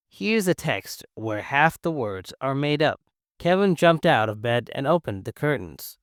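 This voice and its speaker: A young man from China with a Chinese accent, whose first language is Mandarin, teaching English in an encouraging, clear and simple way. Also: mid-range voice, no room sound, studio quality. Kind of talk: scripted English-learning lesson.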